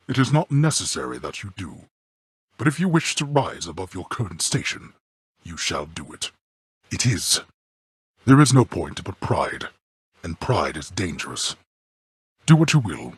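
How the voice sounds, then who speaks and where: An actor playing a stern, imperious god-king of the underworld in a video game, with formal, commanding, disdainful and measured delivery. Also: deep voice, breathy edge, no room sound, studio quality, full character voice. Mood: formal, grudging. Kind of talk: theatrical scripted video game dialogue.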